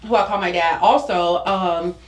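A woman's voice: on the low side, fairly even intonation, normal speed. A young American woman speaking as a podcast guest in a casual, candid and emphatic way, as if giving advice. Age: young adult. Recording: roomy, echoey sound.

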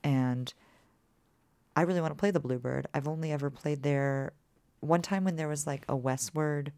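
A woman in her thirties with a low voice, chatting casually as a musician guest and filling her talk with "like". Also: moderate pace, mellow, clear, resonant tone, no echo. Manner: chatty, peppered with "like" fillers. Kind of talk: casual interview chat.

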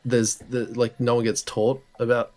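A man's voice: mid-range voice, level tone, normal speed, a little mellow, faint music behind. A young man co-hosting a casual podcast chat, joking and laughing easily, often restarting his sentences. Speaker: podcast co-host.

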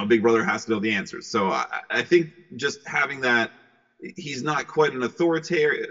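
A cheerful, excitable man giving casual answers as a voice actor in an interview. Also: mid-range voice. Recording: muffled, long hall-like reverb.

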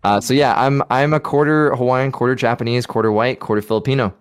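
A man as a guest on a casual podcast, talking in a relaxed, thoughtful way with small laughs. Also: medium pitch, fast, clear, resonant tone. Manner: relaxed, thoughtful, many fillers and restarts, light laughs.

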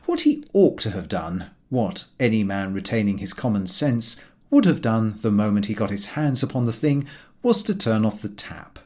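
Male narrator for comic fiction audiobook; husky edge, very lively intonation. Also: on the low side, moderate pace. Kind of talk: scripted narration of a comic novel.

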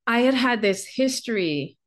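A woman's voice: on the high side, animated, moderate pace. A woman with a light Bahamian accent, a coach telling her story candidly on a podcast, often restarting her sentences. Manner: candid, repeats and restarts, laughs. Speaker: career and business coach, guest.

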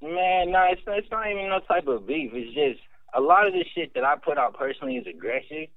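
A man's voice: fairly high pitch, a little fast, dry recording, phone-quality sound. A man appearing as a rapper guest, talking bluntly and emphatically with plenty of swearing. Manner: blunt, emphatic, profane, animated. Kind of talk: casual interview talk.

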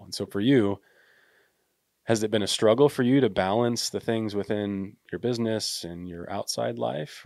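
A man's voice: sits low, dry recording, clean studio sound. A man hosting a podcast, talking conversationally and often ending points with tag questions. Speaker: podcast host. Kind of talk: casual interview talk.

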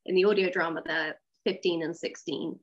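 Lowish-voiced woman, muffled lo-fi sound. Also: steady tone, normal speed. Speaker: writer, interview guest. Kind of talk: casual chat interview.

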